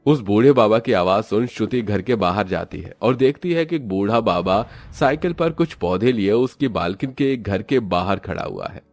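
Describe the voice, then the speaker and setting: A man's voice: fairly low pitch, animated, normal speed, few pauses, a little mellow. A man narrating a scripted moral story as its storyteller.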